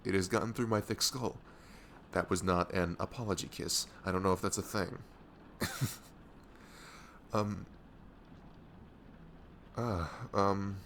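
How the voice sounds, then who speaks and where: A man playing a tender, nervous best friend in an intimate ASMR roleplay, speaking softly and hesitantly in a low, gravelly voice close to the mic. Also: steady tone, slow, long pauses, slight background noise, full character voice. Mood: stunned, flustered. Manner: hesitant, stammering, soft-spoken.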